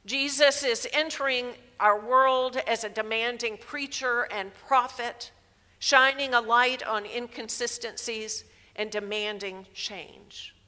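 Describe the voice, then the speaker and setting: Woman, 60s, pastor, sermon, unhurried delivery, reverberant sanctuary. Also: on the high side, fairly even intonation, pushed and bright. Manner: measured, emphatic preaching with gestures. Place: large church sanctuary, indoors. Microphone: headset mic. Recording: long reverb of a church hall.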